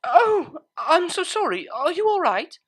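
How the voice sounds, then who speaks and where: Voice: extremely high pitch, big pitch swings, measured pace, dry recording, voice pushed up, full character voice. A narrator voicing a refined, shy and fretful young female pony cellist in a story, with prim, proper phrasing. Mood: apologetic.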